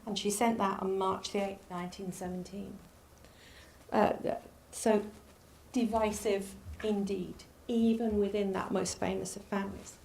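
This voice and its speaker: A woman with a Northern English accent giving a public history lecture, animated, rising on key names and dropping low for asides. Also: a little slow, creaky, poor audio. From Northern England. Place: lecture hall.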